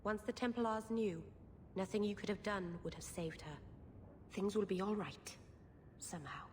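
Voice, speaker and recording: Woman acting a gentle companion in a reverberant hall. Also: medium pitch, moderate pace, noisy background, lightly acted. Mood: consoling. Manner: gentle, reassuring. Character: gentle, consoling female companion. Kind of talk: dramatic game dialogue. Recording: long hall-like reverberation, music behind.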